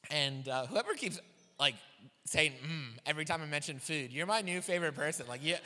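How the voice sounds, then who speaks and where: A young man doing a stand-up set in a church hall, with a high voice for his size and a self-deprecating, animated way of telling stories. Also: a little fast. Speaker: stand-up comedian. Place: church hall with live audience. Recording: long hall reverb from a church stage.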